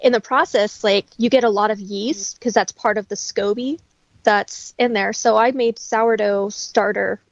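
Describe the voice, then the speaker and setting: A woman's voice: mid-range voice, fairly even intonation, measured pace, dry recording, dull audio. A woman talking in a casual conversational interview as a guest, explaining things in a chatty way.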